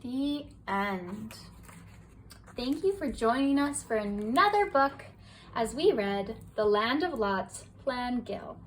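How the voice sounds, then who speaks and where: Bedtime reader, woman, high voice, soft rhyming lilt, distant mic with faint hiss. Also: animated, slow pace. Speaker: storybook reader. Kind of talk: bedtime story read-aloud.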